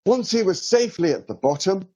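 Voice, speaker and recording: A man narrating a children's storybook in an expressive, drawn-out storytelling delivery. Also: high-pitched, breathy edge. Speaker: author reading a children's story.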